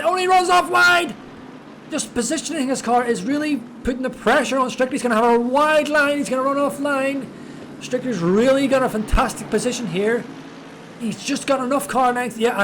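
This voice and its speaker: A man giving chatty, excitable live commentary on an online race, stumbling over drivers' names. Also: extremely high pitch, noisy background. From Ireland. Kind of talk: live sim racing commentary.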